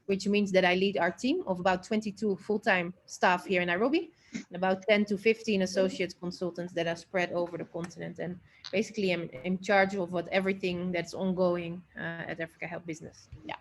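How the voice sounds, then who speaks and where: Woman on a noisy online call. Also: medium pitch. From the Netherlands. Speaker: managing director introducing herself as seminar speaker. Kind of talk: informal self-introduction on an online seminar. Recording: noisy internet call audio.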